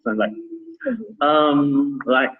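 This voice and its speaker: A man in his thirties with a Malaysian accent, sharing his story as a volunteer in a halting way with many restarts. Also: mid-range voice, narrow, tinny audio. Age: 30s. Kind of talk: casual testimony sharing.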